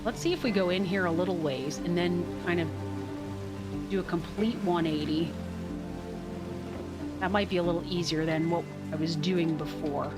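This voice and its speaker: A woman's voice: on the low side, normal speed, pauses often. A woman streaming a game live, thinking aloud as she plays and answering her chat. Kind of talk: casual live gameplay commentary. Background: game music.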